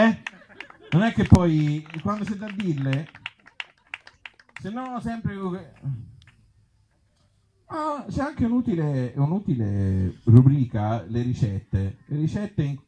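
A man with a slightly high, husky voice reads absurd little tales live. His pitch swings widely, yet the tone stays mellow. He delivers nonsense deadpan and breaks off for offhand, hesitant asides. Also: rough recording. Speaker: author and actor reading his comic tales at a live event. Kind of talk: comic live storytelling, reading absurd short stories aloud with asides.

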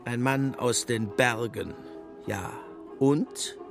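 An actor playing a pompous, cold and contemptuous Nazi propaganda minister in a German radio drama. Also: male voice, medium pitch, very slow, vocal fry, music in the background. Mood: coolly condescending.

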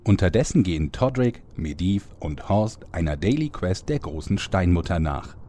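A man's voice: deep voice, sing-song, moderate pace, warm tone, soft background music. A man delivering scripted narration as the storyteller of an audio drama.

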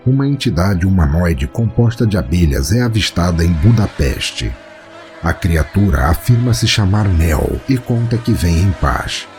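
A man with a Brazilian Portuguese accent narrates a scripted horror story in a measured, solemn, dramatic way. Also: deep voice, animated, darkish tone, soft background music. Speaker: podcast narrator.

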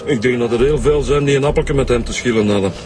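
A man's voice: medium pitch, quick pace, close to an everyday voice. A man playing a grumbling, laid-back police detective with a Flemish accent. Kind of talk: radio drama acting. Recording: music under dialogue.

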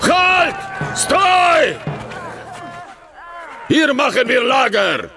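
An actor playing a brutal, gloating warlord in a radio drama, barking orders in broken German with a Russian accent. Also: male voice, very high-pitched, very animated, very slow, raised voice, a crowd in the background, full character voice. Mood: barking, commanding. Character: brutal, gloating foreign warlord of wasteland nomads.

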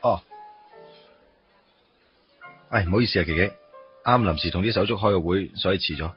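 An actor playing a wry, teasing office worker in a Cantonese radio drama, with a Hong Kong accent and a casual, grumbling delivery. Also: male voice, deep voice, fast, dull audio, soft background music, natural voice. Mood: casual, chatty. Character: wry, teasing male office worker meeting his first love.

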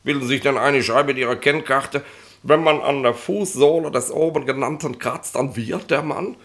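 An actor playing a pompous, bullying police inspector in a 1960s German radio play, rambling officiously and easily amused. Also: male voice, mid-range voice, moderate pace, a bit bright. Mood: indignant. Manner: officious, rambling, keeps tagging on questioning words.

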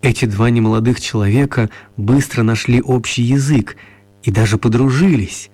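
A man with a husky voice narrating a scripted children's radio play as the storyteller. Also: mid-range voice, normal speed, darkish tone.

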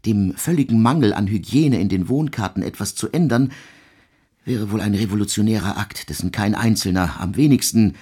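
An actor playing a cultivated composer recounting his travels in a literary radio drama, in a measured, emphatic and formal manner. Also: fairly low pitch, dark tone, husky. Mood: measured, indignant.

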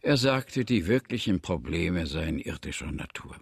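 A hospitable old villager in a radio drama, pious and fond of talking. His low voice is gravelly and moves dramatically up and down as he declaims, recorded close and dry. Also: very animated, a little slow, no echo, full character voice. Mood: solemn. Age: older. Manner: expansive, storytelling, declamatory. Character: talkative, pious old villager grown rich, eagerly hospitable.

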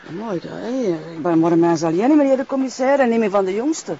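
A nosy older West Flemish woman with a very husky, creaky voice. She chats haltingly in a guarded, gossipy way, as in a television police drama. The sound is slightly dull. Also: mid-range voice, animated, dark tone. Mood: wary, defensive. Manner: hesitant, chatty, trailing sentences with tag questions. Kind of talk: naturalistic television crime drama acting.

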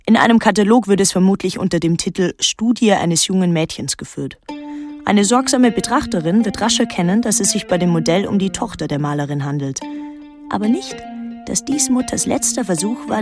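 An actress playing a pensive, detached young woman, narrating and talking in a literary radio drama in a measured, reflective way. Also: mid-range voice, expressive, natural voice. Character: pensive young woman recalling her island summer, detached, introspective. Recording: music under the voice. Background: music.

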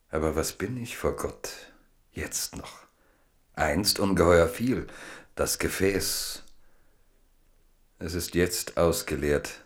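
A man narrates a literary radio drama from a script. His voice is extremely deep, and he takes the text slowly.

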